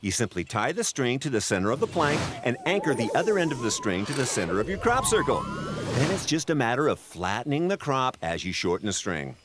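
A man's voice: medium pitch, very animated, a little fast, natural voice. Male cartoon animal field reporter, played by a man: sceptical explainer, hugely varied intonation, very noisy location sound. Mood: know-it-all explaining. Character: earnest male animal roving reporter, sceptical and long-winded. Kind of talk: cartoon news report parody.

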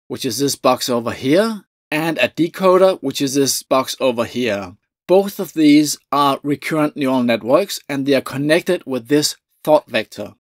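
A man with a Danish accent giving a calm, methodical coding lesson over a screen recording. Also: medium pitch, no room sound, clean studio sound. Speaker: tutorial presenter.

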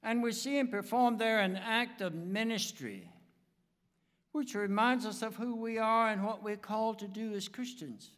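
An elderly male pastor delivers a sermon at a pulpit microphone. His voice sits a little high for a man, and he speaks slowly in solemn, measured phrases. The sound carries the long reverb of a large church sanctuary. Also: fairly bright tone. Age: 70s. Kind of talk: sermon and prayer. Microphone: pulpit gooseneck microphone.

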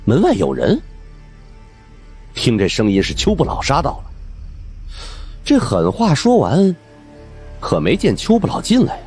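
A man with a husky voice narrating a supernatural mystery audiobook in a dramatic scripted style. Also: mid-range voice, huge pitch swings, unhurried, long pauses, a little mellow, faint music behind.

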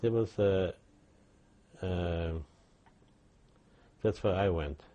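An older man, giving halting oral history testimony with many restarts. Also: low-pitched, mellow. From Poland. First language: Yiddish. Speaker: interviewee.

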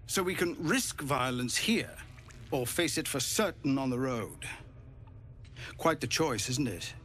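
A man playing a weary, courteous refugee leader in a video game, measured, serious and polite. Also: medium pitch, lively intonation, fairly bright tone, a little hiss or hum. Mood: wry, worried. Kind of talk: video game dialogue acting.

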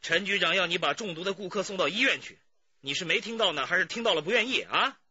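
A raspy, pushy, indignant customer. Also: male voice, high voice, fast talker, pushed and bright, dry recording, lo-fi sound, heavily acted. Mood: indignant, bossy. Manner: brusque, commanding. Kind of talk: Chinese radio drama acting.

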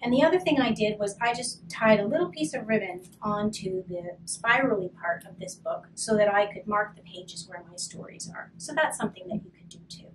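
A woman in her thirties, a hospice volunteer, gently reading a storybook to children and then explaining a craft, her voice often dropping to a whisper. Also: mid-range voice. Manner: soft, slow and gentle, often trailing to a whisper. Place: curtained room, indoors. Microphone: far camera. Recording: distant mic with faint room noise.

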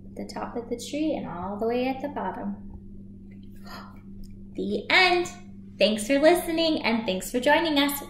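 Woman in her thirties, higher-pitched voice, children's storytime read-aloud, expressive, echoey small room. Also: slight background noise. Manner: expressive, clearly paced, addressing children with questions. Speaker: children's librarian, storytime host. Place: small room at home, indoors by a shuttered window.

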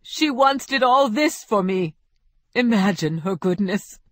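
A mournful, self-pitying painter in a read-aloud story, lamenting in a doleful voice, put on by a woman narrator. He speaks higher and louder than the narration, with a slightly distant timbre. The recording is dry and close. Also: unhurried, dry recording, natural voice.

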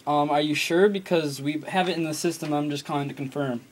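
A young American man talking casually and haltingly, as on an improvised prank phone call, recorded on a camera phone in a small room. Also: on the high side, a crowd in the background. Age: teens or young adult. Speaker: prank caller. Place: small room at a desk, indoors. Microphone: camera phone at arm's length. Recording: noisy amateur phone video with clicks.

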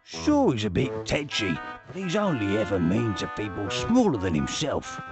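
A bumbling teddy henchman in a cartoon game. He is servile and melodramatic, with a gravelly creak and enormous swings in pitch. Music plays behind him. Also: wildly swooping pitch, normal speed. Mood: confiding. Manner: fawning, melodramatic. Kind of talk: animated video game cutscene acting.

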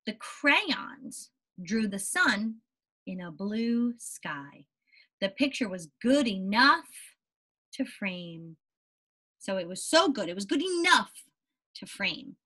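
A young woman teacher reading aloud to young children in an expressive, deliberate way and putting questions to them. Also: mid-range voice. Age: young adult. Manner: animated, deliberate storybook reading with questions to the listeners.

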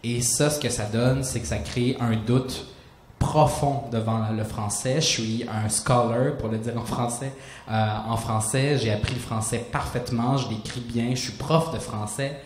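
A man's voice: mid-range voice, steady tone, a little slow. A man in his thirties, a native Spanish speaker, talks reflectively on a panel, searching for words and restarting phrases, into a handheld mic on a small stage. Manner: searching, restarts phrases, gestures while explaining. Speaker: author on a panel. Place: small hall stage with audience. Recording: roomy hall sound, faint noise.

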